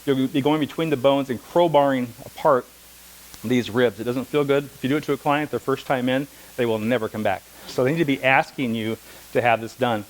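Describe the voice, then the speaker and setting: Middle-aged male instructor, mid-pitched, rapid, anecdote-heavy classroom talk. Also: low-quality recording. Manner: conversational, rambling with anecdotes and asides. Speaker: massage instructor. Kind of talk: classroom lecture with demonstrations. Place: classroom, speaking unamplified.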